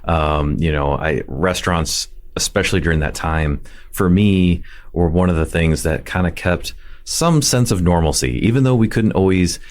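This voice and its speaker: A man's voice: low-pitched, moderate pace. A man hosting a casual food podcast, chatty and enthusiastic, with many fillers. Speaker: podcast host. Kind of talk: casual interview talk.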